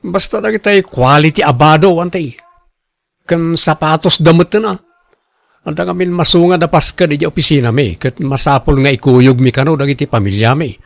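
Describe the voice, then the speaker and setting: A stubborn, image-conscious family man acted by a man in a radio drama. His voice sits a little high for a man, with an Ilocano Filipino accent and a dry, close sound. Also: normal speed, vocal fry, no echo. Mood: insistent, proud. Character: proud, image-conscious husband and father, defensive and stubborn.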